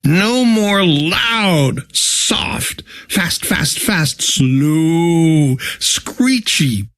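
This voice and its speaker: A man's voice: a little high, lively intonation, extremely slow, a bit bright, full character voice. A man voicing a fed-up, commanding king in a children's storybook, with exclamatory delivery. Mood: furious.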